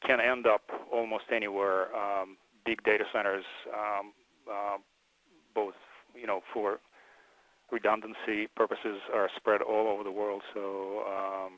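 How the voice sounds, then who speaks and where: A man with a lowish, very even voice answers questions as an engineer on a webinar. He is measured and halting, often searching for his words. The sound is dry and a bit dull, like an online call. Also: dry recording. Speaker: presenting research engineer.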